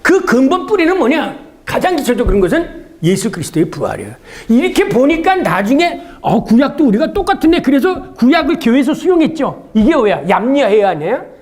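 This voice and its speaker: A man's voice: very high voice, sing-song, brisk. A man giving a theology seminar lecture in a conversational, didactic way, often asking rhetorical questions and answering them himself.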